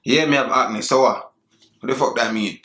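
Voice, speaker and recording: A man's voice: mid-range voice, normal speed, fairly bright tone. Man, fake Jamaican patois, teasing, flirty, roomy echo. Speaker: prank video host. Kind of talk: putting on a Jamaican accent on a chat app.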